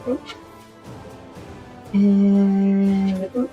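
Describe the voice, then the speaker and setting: A woman with a slightly hoarse voice, casually talking through a strategy game and muttering to herself as she plays. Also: medium pitch, steady tone, very slow, highs cut off, faint music behind. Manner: muttering to herself while playing, trailing off into quiet asides. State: hoarse from a sore throat. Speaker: gaming channel host. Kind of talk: casual gameplay commentary.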